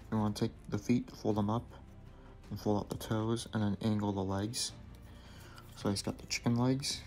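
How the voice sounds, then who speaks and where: A young man with a lowish voice talks through a build step by step, relaxed and casual. He slips in small asides and corrects himself as he goes. Clear music plays behind him in an indoor room. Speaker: toy builder reviewing his creation. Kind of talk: casual hands-on toy review narration. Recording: music playing in the background.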